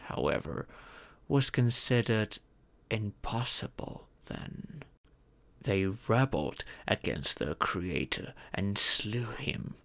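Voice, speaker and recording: A man playing a fatherly dragon mentor in an ASMR fantasy roleplay, patiently telling stories and teaching magic in a gentle, measured, instructive way. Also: lowish voice, creaky, full character voice. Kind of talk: ASMR audio roleplay, scripted fantasy storytelling.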